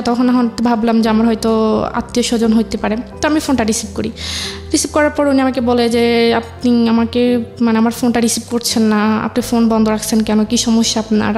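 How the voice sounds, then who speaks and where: A woman's voice: a little high, a little mellow. A woman, a guest on a show, telling a personal story and recounting conversations as she goes. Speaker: interview guest. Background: background music.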